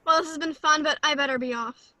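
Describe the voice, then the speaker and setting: A woman's voice: high-pitched, fast, sharp tone, dry recording. A woman playing a cocky, bumbling male secret agent with a flippant, breezy manner in a comedy script read.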